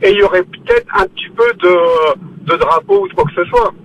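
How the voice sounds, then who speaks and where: A man from France is a caller on a radio phone-in. His voice is notably high and he makes his point insistently, in casual speech, over faint background noise. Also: huge pitch swings, fairly quick, creaky, muffled recording.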